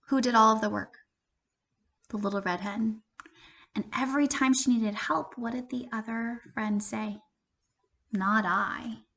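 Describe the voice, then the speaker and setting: A woman teacher giving an interactive children's read-aloud, expressive and questioning, speaking directly to young listeners. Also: on the high side. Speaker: primary school teacher.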